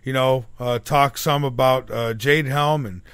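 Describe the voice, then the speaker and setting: A male radio host at an ordinary pitch. He rambles through his introductions and questions, packing them with "uh" and "you know", and the recording sounds dry and close. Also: fairly even intonation, measured pace, a bit bright, clear, resonant tone, no room sound. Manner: rambling with frequent fillers. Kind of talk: casual radio interview.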